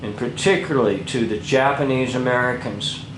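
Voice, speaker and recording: A man's voice: medium pitch, normal speed, echoey room, noisy background. A man commenting over a documentary in an indignant, lecturing way.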